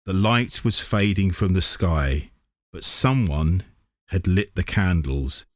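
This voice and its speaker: Low-voiced man reading a storybook, unhurried. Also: lively intonation, dry recording, clean studio sound. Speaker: reader of a children's book. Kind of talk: scripted storybook narration.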